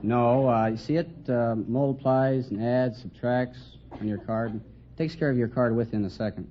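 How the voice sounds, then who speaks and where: Guy contestant on old radio quiz, medium pitch, dull hissy recording. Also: fairly even intonation, moderate pace.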